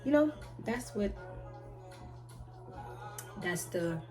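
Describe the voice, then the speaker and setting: A woman in her twenties answering viewer questions in a rambling, halting way, often restarting and trailing off. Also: medium pitch, lively intonation. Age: 20s. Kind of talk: casual chat. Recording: loud music playing behind her.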